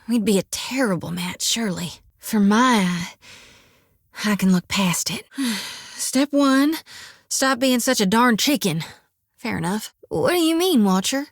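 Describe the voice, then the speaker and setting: A woman's voice: a little high, lively intonation, takes it slowly, no echo, studio quality, exaggerated voice. An actress voicing an earnest, devout young farm-raised woman priest, folksy and stubborn. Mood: wistful, then questioning. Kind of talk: video game voice acting. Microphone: dry studio mic.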